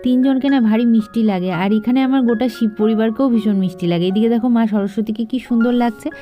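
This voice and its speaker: A woman's voice: a little high, fast, highs cut off. A young woman vlogger speaks with a West Bengali accent over faint music. She talks in a familiar, chatty way, as if to friends, and drops English words into her everyday narration. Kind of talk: casual daily vlog narration addressed to viewers.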